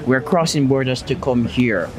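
A man's voice: medium pitch, normal speed. A man speaking emphatically in a casual street conversation, repeating his points with force. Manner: emphatic, repetitive, declarative. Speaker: interviewee. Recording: crowd noise of a busy street.

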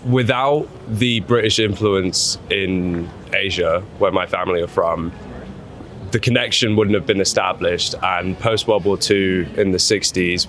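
A man's voice: low-pitched, fairly bright tone. A young man being interviewed in a casual street conversation, hesitant, with many fillers and restarts. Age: young adult. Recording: crowd noise of a busy street.

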